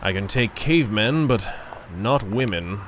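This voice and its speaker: An actor playing a grave, self-assured gentleman crime-fighter in a 1940s-style radio drama, speaking deliberately and dramatically. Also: on the low side, animated, a little hiss or hum.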